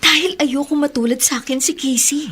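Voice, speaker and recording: A protective young Filipina elder sister, earnest and burdened, with a fairly high voice. It is heartfelt radio drama acting over faint noise. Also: female voice, fairly even intonation, brisk, fairly bright tone. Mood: worried, weary.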